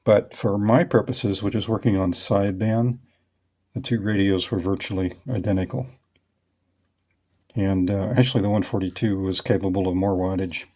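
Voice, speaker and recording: A middle-aged man with a low voice presents a hands-on hobby demonstration. He talks casually and explains things in short, measured phrases. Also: fairly even intonation, mellow.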